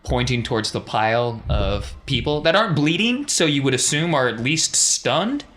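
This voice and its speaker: A man's voice: mid-range voice, big pitch swings, normal speed, fairly bright tone, a little hiss or hum. A man acting as game master, narrating a tabletop roleplaying game in a casual, explanatory way. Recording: faint music behind the voice.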